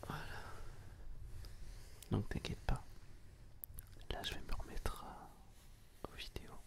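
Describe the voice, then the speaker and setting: A man whispering intimately to the listener in a casual ASMR style, with a playful, teasing manner. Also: slow. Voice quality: breathy whisper. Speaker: ASMR video host.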